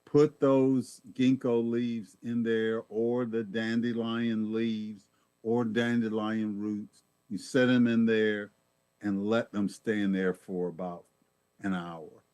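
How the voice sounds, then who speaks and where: Guy talking slow and low, folksy how-to advice, pretty dry close sound. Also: flat delivery, a little mellow, smooth, dry recording. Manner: folksy, explanatory, repeats words while thinking. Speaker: guest health presenter. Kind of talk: informal health talk.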